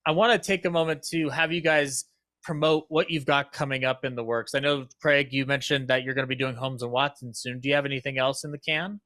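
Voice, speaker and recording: A man's voice: mid-range voice, fairly even intonation, brisk, sharp tone, dry recording. A man hosting a casual panel chat, speaking in an enthusiastic, effusive way. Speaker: host and producer of a radio show recreation.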